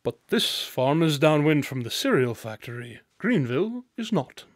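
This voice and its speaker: An actor playing a clever, confident detective in a radio drama, with precise, formal and superior diction. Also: male voice, a little high, very animated, normal speed, no room sound, clean studio sound. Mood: insistent, superior.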